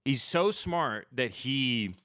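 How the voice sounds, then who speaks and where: A man's voice: mid-range voice, lively intonation, takes it slowly, a bit bright, dry recording. A man hosting a livestream, ranting about politics in a blunt, sardonic, casual way with plenty of swearing. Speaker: livestream host. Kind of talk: opinionated casual political rant.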